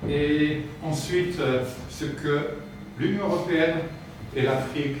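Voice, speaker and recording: Middle-aged man from France, measured hesitant speaker; distant mic, very roomy, noisy. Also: on the high side, steady tone. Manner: slow, measured, hesitant with "euh" fillers. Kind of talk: formal talk with pauses for interpreting. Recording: echoey room from far off with noise.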